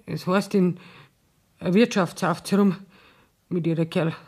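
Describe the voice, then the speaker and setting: A woman's voice: on the low side, fairly even intonation, a little slow, darkish tone, slightly rough. Plaintive Bavarian woman, guarded. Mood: guarded, uneasy. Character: worried, complaining Bavarian woman, wary police informant. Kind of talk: 1970s German radio play acting.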